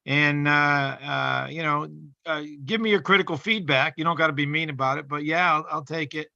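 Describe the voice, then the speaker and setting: A middle-aged man talking casually and earnestly as an open mic poet, rambling and halting as he explains himself. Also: a little high, gravelly, sharp tone, no echo. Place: online video call. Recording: dull lo-fi call audio.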